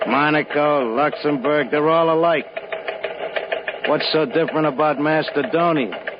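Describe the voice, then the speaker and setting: A man's voice: a little high, unhurried, lightly acted. An actor playing a skeptical, grumbling, matter-of-fact American man in a 1950s radio drama. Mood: brisk, dismissive. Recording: noisy, dull 1950s radio recording.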